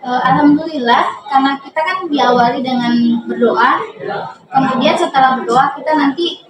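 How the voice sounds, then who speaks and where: A woman's voice: sits high, moderate pace, over a noisy crowd. A woman answers interview questions as a teacher, explaining step by step with some hesitation. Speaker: English teacher being interviewed. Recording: echoey room, distant mic, background noise.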